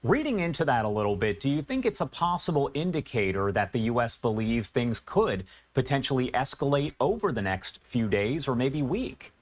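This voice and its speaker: A man with a medium-pitched voice anchors a live news segment. He speaks as an interviewer, setting up topics and putting questions to his guest. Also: big pitch swings, normal speed, hardly any pauses.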